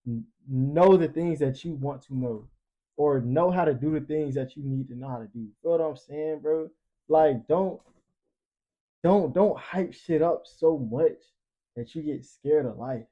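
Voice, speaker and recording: A man's voice: on the high side, measured pace, dull audio. A twenty-year-old man talking casually and emphatically, preaching motivation in profane slang with repeated catchphrases. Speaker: podcast panelist.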